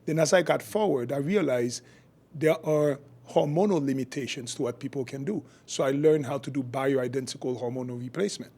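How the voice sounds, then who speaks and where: A man's voice: mid-range voice, a little fast, darkish tone. A middle-aged man from Haiti, a physician guest explaining his work and views in a podcast interview. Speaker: guest, functional medicine physician.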